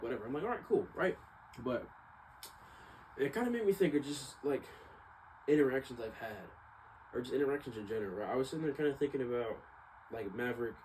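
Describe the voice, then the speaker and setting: A man's voice: lowish voice, a little mellow, rough recording. A man talking casually to viewers at home in a rambling, slangy, hesitant and reflective personal monologue. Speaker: vlogger. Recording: clearly audible background noise.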